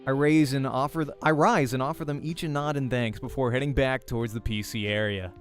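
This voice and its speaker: Casting: male game narrator, mid-pitched, first-person storytelling with informal asides, faint music bed. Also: fairly even intonation, fairly quick. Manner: informal, breaks off to comment on slips. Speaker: voice actor reading a game.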